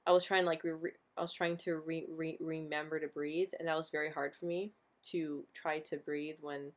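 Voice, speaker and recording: A woman's voice: sits low, level tone, normal speed. A young woman hosting a casual live stream, talking with a frequent stutter that repeats sounds and words. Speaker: livestream host answering viewer questions.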